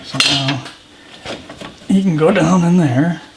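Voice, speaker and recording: A man's voice: fairly high pitch, slow pace, echoey room. A man chatting casually while working on a car, picked up by a camera microphone. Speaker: helper in car repair. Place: at a car's open engine bay by a garage. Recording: clear noise, clanking tools.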